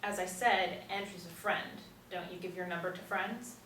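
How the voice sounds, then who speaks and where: A woman's voice: fairly low pitch, steady tone, moderate pace, fairly bright tone, natural voice. A woman reading the part of a defiant, guarded star actress under questioning, at a TV pilot table read. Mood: defiant, irritated. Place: small meeting room, seated in a row. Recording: echoey room, distant mic, faint noise.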